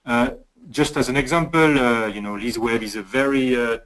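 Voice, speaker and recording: Man, tech presenter, mid-pitched voice, halting delivery with many fillers. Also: fairly bright tone, rough recording. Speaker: cybersecurity manager presenting. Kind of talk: webinar presentation.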